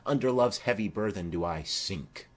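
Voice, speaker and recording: A man's voice: on the low side, moderate pace, natural voice. A man voicing a heavy-hearted, lovesick young man in a poetic, mournful Shakespeare audiobook reading. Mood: gloomy.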